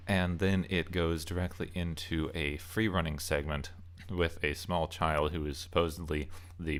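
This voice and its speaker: A man's voice: deep voice, a bit bright. A man giving casual, opinionated commentary as a game reviewer, rambling a little and stressing key words. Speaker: video essayist and game reviewer. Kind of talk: casual loosely scripted commentary.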